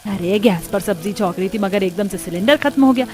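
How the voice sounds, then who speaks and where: A woman's voice: medium pitch, a little fast, over loud music, lightly acted. A woman voicing a matter-of-fact, grumbling mother who mutters, in a cartoon story. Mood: brisk. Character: grumbling mother cooking in the heat.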